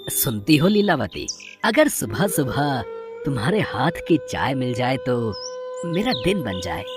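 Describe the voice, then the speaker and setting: A put-upon young farmer husband, voiced by a woman, weary and plaintive. The delivery swings widely in pitch, with soft music behind the village story drama. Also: very animated, a little slow, exaggerated voice. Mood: coaxing. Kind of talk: dramatised Hindi moral story.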